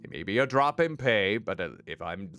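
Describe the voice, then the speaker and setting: Playful, teasing deep-voiced male streamer. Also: lively intonation, normal speed, fairly bright tone, dry recording, studio quality. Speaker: VTuber streamer playing a story game. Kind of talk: casual gameplay commentary.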